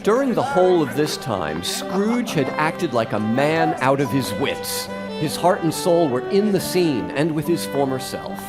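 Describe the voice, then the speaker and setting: A man giving expressive scripted narration in a live radio drama. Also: fairly high pitch, unhurried, hardly any pauses, over loud music. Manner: expressive storytelling. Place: live stage performance.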